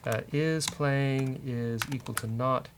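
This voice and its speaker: A man's voice: medium pitch, steady tone, slow pace, noisy background. A man hosting a casual coding show, bantering with a co-host and thinking aloud as he works.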